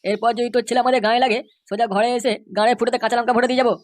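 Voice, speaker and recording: A woman's voice: fairly high pitch, flat delivery, rapid-fire, background noise, lightly acted. Cartoon henchman played by a woman: bullying, sweary, rattling off threats at top speed. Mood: threatening. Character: foul-mouthed bullying young street thug, cocky henchman. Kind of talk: comic cartoon dubbing.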